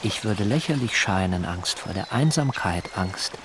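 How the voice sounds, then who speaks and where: An actor playing an earnest, dreamy young idealist planter in a reflective, deliberate radio drama monologue. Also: male voice, deep voice, lively intonation, background noise. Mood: introspective, relieved. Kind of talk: introspective first-person radio drama monologue.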